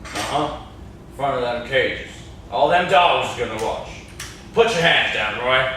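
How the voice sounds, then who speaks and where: A man's voice: medium pitch, expressive, unhurried, pushed and bright. A young man acting in an amateur stage play as a menacing, mournful old cabin man with a folksy rustic drawl. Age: young adult. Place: classroom, indoors. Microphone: far camera. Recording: echoey classroom, distant camera mic, noisy.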